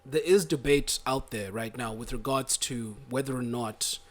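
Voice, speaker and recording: A man's voice: medium pitch, moderate pace. A man hosting a casual interview, asking probing questions in an informal, roundabout way. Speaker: interview host.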